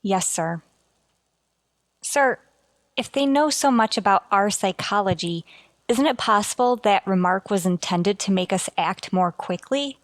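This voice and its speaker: Woman playing a careful respectful space crew officer, medium pitch, super dry sound, sci-fi radio play. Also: expressive, unhurried, dry recording, lightly acted. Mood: respectful, uneasy. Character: earnest, deferential female starship communications officer. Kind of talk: radio sci-fi drama acting.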